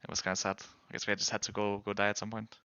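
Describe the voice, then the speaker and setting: A man's voice: fairly low pitch, completely flat delivery, racing, bright, forceful tone, smooth, dry recording, lo-fi sound. A man whose first language is Danish, chatting casually with viewers while gaming as a streamer, rambling and restarting his sentences.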